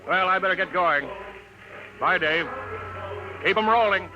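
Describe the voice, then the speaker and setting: An actor playing a gruff, harried chief engineer, weary but commanding, in a 1950s radio drama style. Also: male voice, high voice, measured pace. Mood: sheepish, hurried. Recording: muffled vintage radio recording, crowd noise behind.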